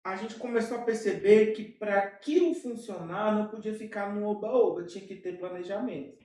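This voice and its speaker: A Brazilian man speaks as an interview guest, lively and full of quick asides. His voice sounds set back from the mic in a very echoey room. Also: sits high, distant mic. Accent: Brazilian Portuguese. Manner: animated, with frequent fillers and quick asides. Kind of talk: casual storytelling in an interview.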